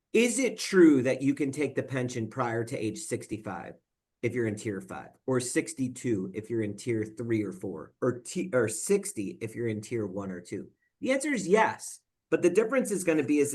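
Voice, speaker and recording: A man of middle pitch giving an explanatory talk as a financial advisor. He speaks conversationally and works through examples one step at a time. He keeps checking in with his listeners with a quick "right?". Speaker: financial advisor presenting at a roundtable. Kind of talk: explanatory presentation.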